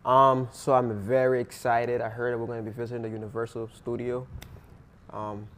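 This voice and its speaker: A man's voice: medium pitch, level tone, normal speed, fairly bright tone, slight background noise. A teenage boy who speaks English as a second language, answering interview questions in an earnest, rambling way with fillers. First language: not English. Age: teens. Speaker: interviewee, student actor.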